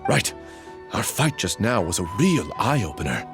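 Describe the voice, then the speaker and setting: A man's voice: lowish voice, sing-song, measured pace, over music. A man playing an eager junior sword student, theatrical and deferential, in dramatic anime-style video game acting. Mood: resolved.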